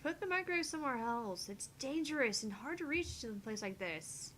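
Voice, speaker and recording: Woman playing young female genius scientist, sarcastic, needling, bright crisp edge, slightly high, some background noise, visual novel game. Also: lively intonation, brisk, low-quality recording. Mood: impatient. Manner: dry, needling.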